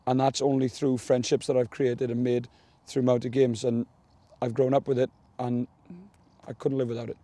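A man's voice: mid-range voice, level tone, moderate pace, dark tone, no room sound. A man, a senior team rider, speaking in a heartfelt, rambling way in an interview.

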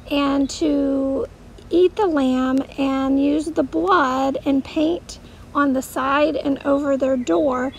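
A woman tells a simple story to children as their teacher. Her voice is high with a creaky edge, and she speaks slowly over faint background noise. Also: rough recording.

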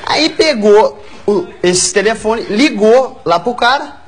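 A Brazilian man in his thirties with a stutter, telling a comic anecdote haltingly and repeating words as a talk show guest. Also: high voice, expressive. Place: large TV studio with audience. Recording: lo-fi TV studio tape, loud audience noise. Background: loud audience laughter.

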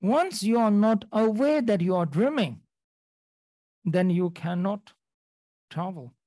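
A man's voice: high-pitched, lively intonation, dark tone, no echo, studio quality. A man from Nepal teaching a dharma class over a video call, often restarting phrases and checking in with the listeners. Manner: halting, repeats phrases, checks in with "okay?". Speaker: Buddhist teacher.

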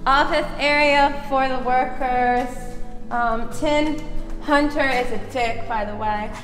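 A woman's voice: sits high, steady tone, takes it slowly, fairly bright tone, echoey room, soft background music. A young woman hosting a casual exploring vlog, chatty and playful, with joking asides, talking to a handheld camera in an abandoned clubhouse. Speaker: urban explorer host. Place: abandoned golf clubhouse, indoors and out.

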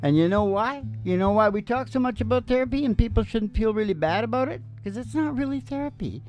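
A man's voice: high-pitched, lively intonation, fast talker, a little mellow, soft background music. A man in his sixties chatting casually and jokingly in a folksy way between songs, as a performer hosting a show. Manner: folksy, playful, jokey. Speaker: singer-guitarist and radio show host. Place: small indoor stage with curtain. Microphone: stand microphone, close.

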